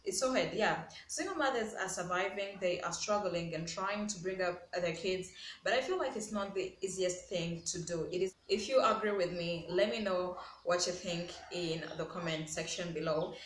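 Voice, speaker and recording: A woman's voice: lowish voice, moderate pace. A woman in her twenties with a Ugandan accent, speaking emphatically to camera in a casual opinion vlog and repeating key words. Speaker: vlogger. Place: small bare-walled room indoors. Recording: echoey room with background hiss.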